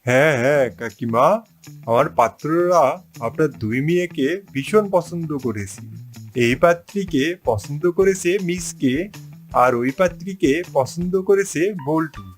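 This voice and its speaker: Animal matchmaker for a cartoon, played by a man: pushy, teasing salesman, moderately high voice over light music. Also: unhurried, natural voice. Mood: businesslike, pushy. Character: brash, boastful animal village matchmaker. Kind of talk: exaggerated cartoon voice acting.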